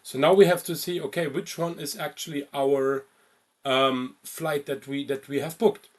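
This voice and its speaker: Higher-voiced man narrating a software tutorial. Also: fairly even intonation, normal speed. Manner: informal step-by-step explaining with check-in questions. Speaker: travel ticketing instructor.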